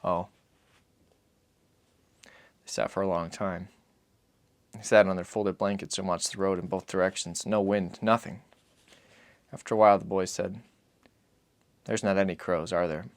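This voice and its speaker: A man giving a dramatic reading of literary fiction, solemn and measured in his phrasing. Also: sits low, fairly even intonation, no room sound. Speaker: audiobook narrator.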